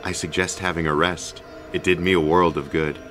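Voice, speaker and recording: Guy acting as body-snatched man, super stiff and polite, very low voice, music behind. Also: little pitch movement, moderate pace, natural voice. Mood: eerily calm. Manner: stilted, formal phrasing. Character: drowsy man turned eerily formal alien host.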